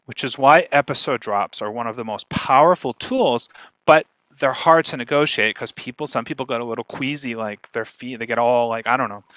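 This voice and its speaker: A man's voice: medium pitch, a bit bright, no room sound, low-quality recording. A man giving an informal instructional presentation as a speaker, explaining things step by step and checking in with listeners as he goes. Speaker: speaker, podcast marketer giving a talk.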